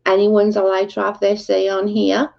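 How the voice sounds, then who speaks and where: A woman's voice: mid-range voice, monotone, normal speed, gravelly, highs cut off. A Welsh woman hosting a casual livestream, talking bluntly and with exasperation to her chat. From Wales. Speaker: livestream host.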